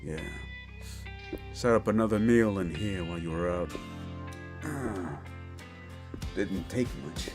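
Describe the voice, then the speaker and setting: A man playing a gruff, wisecracking demon-born monster hunter in an action horror audio roleplay, talking in blunt tough-guy slang. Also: low voice, takes it slowly, pauses often. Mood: wry, relaxed. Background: music.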